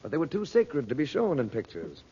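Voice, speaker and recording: An actor playing a calm, commanding magician uncle in a radio adventure serial, kindly but secretive and deliberate in speech. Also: male voice, mid-range voice. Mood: genial. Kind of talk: old-time radio adventure serial acting. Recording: dull, noisy vintage radio recording.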